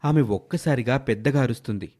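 A man's voice: mid-range voice, a little fast, dark tone. A man narrating a scripted moral tale as a storyteller.

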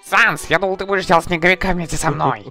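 A man's voice: high voice, steady tone, quick pace, creaky, sharp tone, faint music behind, exaggerated voice. A man voicing a boastful, naive, earnest skeleton brother in a comic dub, theatrically proud and grandiose in his phrasing. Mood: proud, eager. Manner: pompous, grandiose, formal phrasing.

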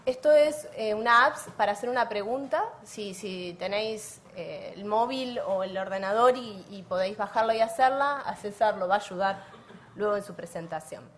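An Argentine-accented woman delivers a conference lecture in a mid-pitched voice. She lays out her points in clear order with a dry, wry touch. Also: normal speed, a little hiss or hum. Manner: wry, structured, clearly organized. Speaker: academic lecturer.

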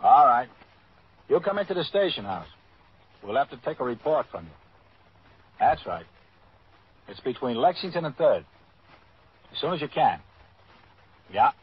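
An actor playing a terse, businesslike police desk sergeant with a husky voice, in a vintage radio drama. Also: male voice, medium pitch, very animated, unhurried, pauses often, exaggerated voice. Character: brusque male police desk sergeant taking calls. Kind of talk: vintage radio drama, one-sided phone call. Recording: muffled old radio recording.

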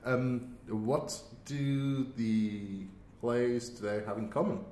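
A man co-hosting a podcast in casual, halting chat, recorded in an empty office. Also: dark tone. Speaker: podcast co-host. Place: very empty, cave-like office indoors. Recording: echoey empty office, low rumble noise.

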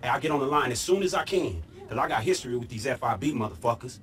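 A man's voice: medium pitch, expressive, quick pace, fairly bright tone, natural voice. An actor playing an exasperated, no-nonsense American street businessman in a video game, scolding in casual slang. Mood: businesslike. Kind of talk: video game cutscene acting.

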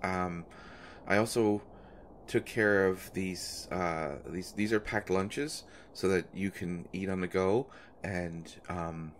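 A man acting a low-voiced, stammering, nervous guy. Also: slight background noise. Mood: earnest, awkward. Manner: halting, stammering, flustered. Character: nervous, eager-to-please young man caring for pregnant partner. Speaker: audio roleplay performer. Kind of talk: intimate one-sided audio roleplay drama.

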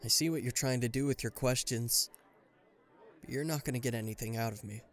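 A man's voice: medium pitch, fairly quick, fairly bright tone, lightly acted. A man playing an aloof, reluctantly caring bodyguard in a roleplay audio drama, talking to the listener in a dry, guarded, mildly exasperated way. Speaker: voice actor.

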